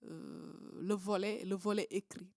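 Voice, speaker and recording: A woman's voice: mid-range voice, fairly even intonation, measured pace, darkish tone, dry recording. Guest: woman from southern senegal, hesitant, talks with lots of fillers and restarts, dry close sound. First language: Creole, Diola and Wolof. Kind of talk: casual interview conversation.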